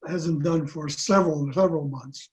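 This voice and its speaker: An older man talking informally on a video call in a measured, halting way. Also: a little high, low-quality recording. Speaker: club member. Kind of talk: informal meeting talk. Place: indoors at home, video call.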